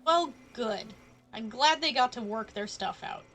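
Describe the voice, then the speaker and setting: A woman's voice: fairly high pitch, expressive, unhurried, fairly bright tone. A woman game streamer reacting casually on a live stream, joking and self-deprecating. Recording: game music behind the voice.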